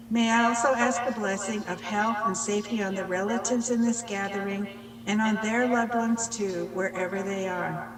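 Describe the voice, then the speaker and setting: A woman's voice: medium pitch, distant mic. Older woman, reverent, in an echoey hall. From Pine Ridge Reservation, United States. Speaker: workshop speaker leading prayer. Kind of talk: slow prayer and self-introduction.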